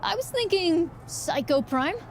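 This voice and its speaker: A tough young lady wrestler from a game, high pitched, defiant and really earnest. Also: animated, unhurried, a little hiss or hum, full character voice. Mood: wry, confident. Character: fierce, principled young woman pro wrestler, defiant and resolute. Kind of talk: scripted video game cutscene acting.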